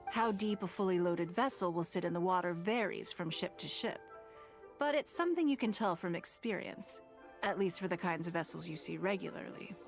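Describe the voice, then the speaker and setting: An actor, a woman, playing a poised, gracious and shrewd noblewoman in a video game, composed and measured. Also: lowish voice, expressive, soft background music. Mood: gently instructive.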